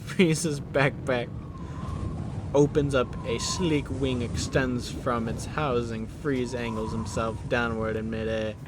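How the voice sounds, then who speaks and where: Male action narrator over noisy rumble. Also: fairly even intonation, slow pace. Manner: theatrical, present-tense play-by-play. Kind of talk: dramatic scripted action narration. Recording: noisy, vehicle rumble and ambience behind.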